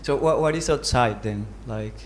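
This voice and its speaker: A man's voice: mid-range voice, moderate pace, slight background noise. A man asking questions as a newcomer to a class, polite and tentative in a casual way.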